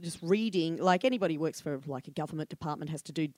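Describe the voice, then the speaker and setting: A woman's voice: sits low, fast, a little mellow, dry recording, clean studio sound. An older Australian woman talking candidly as a podcast guest, chatty, with fillers and frequent laughter. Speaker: comedy room programmer, guest. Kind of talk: live podcast conversation.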